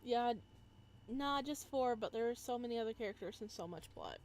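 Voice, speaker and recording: A woman's voice: a little high, lively intonation, normal speed. A woman playing through a visual novel, reading narration aloud and breaking in with casual, playful, teasing commentary. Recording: noticeable background noise.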